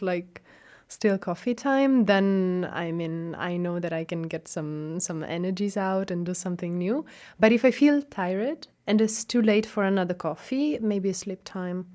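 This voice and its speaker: A young woman from Italy speaks English with an Italian accent as an interview guest. She talks in an animated, casual way. She often stops to refill her thoughts with fillers and restarts. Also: medium pitch. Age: young adult. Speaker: guest researcher.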